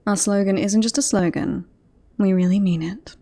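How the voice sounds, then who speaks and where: A woman playing a devoted bodyguard in an ASMR roleplay, politely reassuring and professional on the surface, with an obsessive streak slipping into hushed intimacy. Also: medium pitch, steady tone, moderate pace, lightly acted. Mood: earnest, reassuring. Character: devoted yandere bodyguard woman, composed front over creepy obsession.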